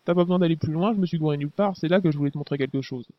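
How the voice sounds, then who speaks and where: Male actor as a street-smart young rebel; rapid, slangy, higher voice, dry lo-fi take. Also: no room sound, close to an everyday voice. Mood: casual. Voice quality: casual slang, hesitant fillers. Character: young male Nikean resistance fighter, brash and slangy.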